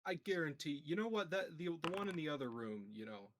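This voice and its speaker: A man with a gravelly, husky voice chatting casually as a player in a tabletop roleplaying game. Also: on the high side, sing-song, brisk, a bit bright, slight background noise.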